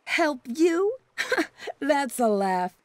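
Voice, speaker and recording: A woman playing a greedy, sadistic mercenary villainess in a video game, mocking and teasing with drawn-out words. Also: high voice, big pitch swings, no room sound, exaggerated voice. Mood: spiteful, gloating. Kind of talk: theatrical video game acting.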